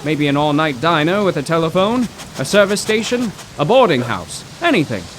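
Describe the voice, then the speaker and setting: An actor playing an excitable, bookish professor in a 1930s radio drama, theatrical and wordy with old-fashioned exclamations. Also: male voice, fairly high pitch, normal speed. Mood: curious, friendly. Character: excitable, bookish 1930s male poetry professor, stranded motorist. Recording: rain and road noise.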